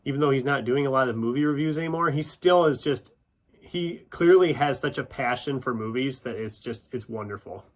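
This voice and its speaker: A man talks casually to his viewers as a channel host. His voice sits at an average pitch. He speaks informally, with self-deprecating asides. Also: a little fast. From United States, Iowa. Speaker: YouTube book channel host. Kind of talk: casual chatty vlog, answering questions.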